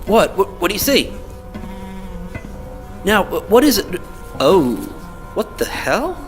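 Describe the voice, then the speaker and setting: A man acts a young male survivor in an audio drama, casual, protective and teasing. His pitch swings widely, with faint music behind him. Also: very animated, takes it slowly, exaggerated voice. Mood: startled, curious. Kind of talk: conversational audio drama acting.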